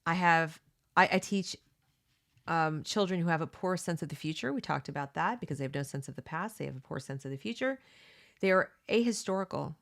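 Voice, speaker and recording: A woman's voice: low-pitched, a little fast, creaky, fairly bright tone. Earnest woman reading aloud with asides. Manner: reads passages then breaks off into emphatic asides; earnest, opinionated, keeps checking in with "okay?" and "you know". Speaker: homeschooling parent reading a book aloud. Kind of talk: read-along with conversational commentary.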